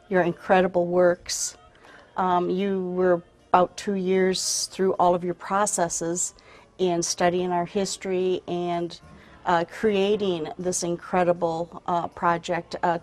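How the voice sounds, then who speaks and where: A woman's voice: fairly low pitch, darkish tone. A woman hosting a conversational interview.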